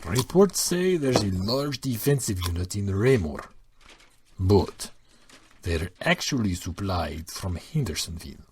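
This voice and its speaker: A man playing a hard, confident militia lieutenant in an audio drama, reporting in a measured, assured way. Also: lowish voice, very animated, a little mellow, slight background noise. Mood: confident, businesslike.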